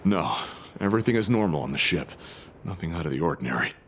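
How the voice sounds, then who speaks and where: An actor playing a hesitant soldier on guard duty who stammers when surprised, in a game cutscene. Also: male voice, low-pitched, lively intonation, quick pace, fairly bright tone, a little hiss or hum. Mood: earnest. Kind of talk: video game cutscene voice acting.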